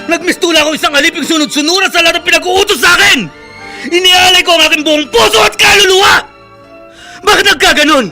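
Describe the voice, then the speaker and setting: High-voiced, earnest young working man. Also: quick pace, bright, forceful tone, faint music behind, exaggerated voice. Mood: anguished outcry. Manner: deferential, earnest. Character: humble, eager-to-please young male errand worker and devoted son. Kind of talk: Tagalog radio drama acting.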